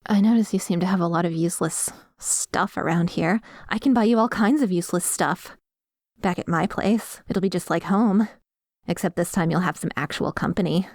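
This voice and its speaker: A woman's voice: fairly low pitch, darkish tone, no echo. Woman acting a possessive vampire woman, close and dry. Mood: possessive, smug. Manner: breathy sighs, drawn-out savouring words, slipping into whispers; commanding, condescending. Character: dominant, possessive vampire woman, obsessive and hungry. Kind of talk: scripted ASMR roleplay audio, addressing the listener.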